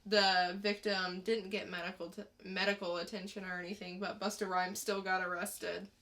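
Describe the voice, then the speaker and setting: A woman's voice: medium pitch, little pitch movement, moderate pace, fairly bright tone. A woman co-hosting a podcast, telling stories in casual conversational banter. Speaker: podcast co-host.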